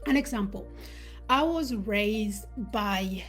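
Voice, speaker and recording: A woman who was born in Uganda and raised in Sweden, hosting a live talk on self-awareness and telling personal stories in a reflective, unhurried way. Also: medium pitch, lively intonation, fairly bright tone, soft background music. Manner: reflective, storytelling with pauses. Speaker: host.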